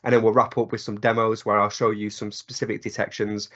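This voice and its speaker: Hoarse man presenting a webinar, slightly dull audio. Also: fairly low pitch, flat delivery, fairly quick, a bit bright. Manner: many ums and uhs, restarts. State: hoarse from a cold.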